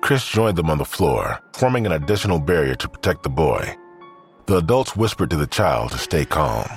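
Deep-voiced man narrating crime drama, lively intonation. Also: moderate pace, soft background music. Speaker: narrator of a fiction podcast.

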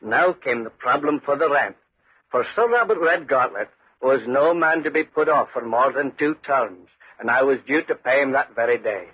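A man's voice: mid-range voice, lively intonation, moderate pace, husky. An actor playing a humble, uneasy tenant farmer who tells his tale in earnest, folksy 1940s radio style. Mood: earnest storytelling. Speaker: narrator and lead character. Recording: muffled, noisy 1940s radio recording.

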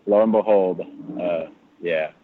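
A man's voice: low voice, a little slow, slight background noise. A man chatting casually as a podcast guest, joking and trailing off mid-thought. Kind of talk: casual chat. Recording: phone-like line.

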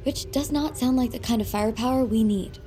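A woman's voice: fairly high pitch, a little fast, mellow, slight background noise, lightly acted. An actress playing a guarded, skeptical woman in an audio drama, dry, blunt and dismissive.

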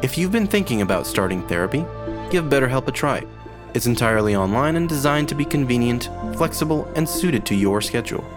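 A man's voice: medium pitch, very animated, a little fast. A man reading a scripted podcast sponsor message, with music behind him. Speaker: podcast ad reader.

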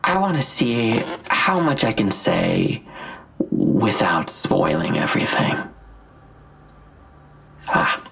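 An actor playing a smug, menacing vigilante villain in an audio drama, articulate and self-righteous, with a gravelly, husky voice and a deliberate, taunting delivery. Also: male voice, on the low side, very animated, fairly bright tone, heavily acted. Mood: calculating. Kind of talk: full-cast audio drama acting.

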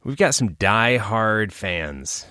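A man's voice: on the low side, lively intonation, slow pace, sharp tone, dry recording, clean studio sound. A man co-hosting a podcast over a video call, with easygoing, laid-back banter. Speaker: podcast co-host. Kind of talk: casual chat on a video call.

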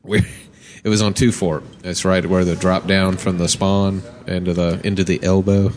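A man's voice: sits low, flat delivery, moderate pace, a little mellow. A man telling a gaming anecdote on a live podcast, rambling with hesitations and laughing along.

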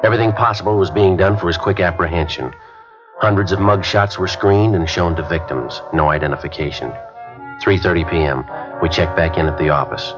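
A terse police detective, as an actor plays him in an old radio drama, reading off times and facts in a clipped, deadpan way. His voice sits very low. The broadcast sounds dull and lo-fi, with music under it. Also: fairly quick, natural voice. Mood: flat narration. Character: terse, matter-of-fact male police detective, adult. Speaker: detective and narrator. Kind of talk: 1950s radio drama acting.

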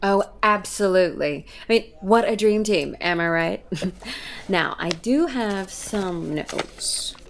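A self-important woman theatre director in an audio comedy. Her medium-pitched voice is effusive and assured, with grandiose, blunt confidence. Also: animated, slow, vocal fry, slight background noise. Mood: confident. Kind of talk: audio comedy drama acting.

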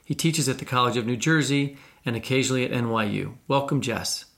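A man's voice: mid-range voice, fairly quick, fairly bright tone. A man hosting a discussion podcast, introducing guests and posing questions in a presenter's style. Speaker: podcast co-host.

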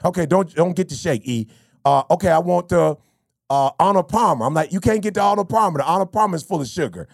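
A man, hosting a podcast in casual, animated talk full of slang and stories. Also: sits high, fast talker, vocal fry, no echo, studio quality. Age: 50s. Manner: animated, storytelling, slangy with bro and you feel me. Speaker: podcast host. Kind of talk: casual motivational chat.

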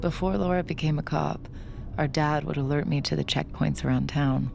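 A woman's voice: deep voice, fairly even intonation, moderate pace, vocal fry, noisy background. A woman playing a guarded, sardonic young mind reader in an audio drama, speaking in a curt, weary, dry way. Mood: reflective. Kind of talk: audio drama dialogue and confessional narration.

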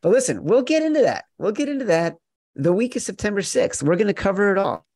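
Guy hosting a sports betting podcast, slightly high voice, playful and emphatic, close dry sound. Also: big pitch swings, fast talker, a little mellow, no room sound. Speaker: podcast co-host.

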